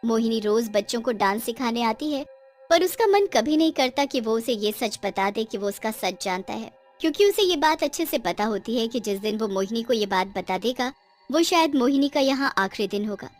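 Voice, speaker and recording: A woman's voice: on the high side, expressive, moderate pace, soft background music. A woman reading dramatic scripted narration for a cartoon horror story.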